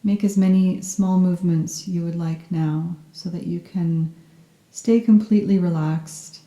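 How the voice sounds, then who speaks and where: A soft-spoken woman guiding a yoga nidra meditation in a gentle, soothing and unhurried manner. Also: fairly low pitch, fairly even intonation, echoey room. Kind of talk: guided meditation, scripted narration.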